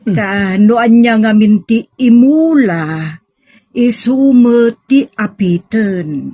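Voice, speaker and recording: A woman playing a pious, motherly older woman who gives measured moral counsel in an Ilocano melodrama. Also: on the high side, animated, mellow, no echo, clean studio sound. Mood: grave, admonishing. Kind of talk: Ilocano radio-style melodrama.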